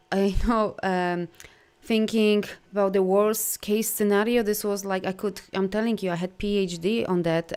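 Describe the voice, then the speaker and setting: A woman's voice: medium pitch, a little mellow, clean tone. Earnest woman with a Polish accent. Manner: earnest, heartfelt, halting non-native phrasing. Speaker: life coach. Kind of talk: live video talk.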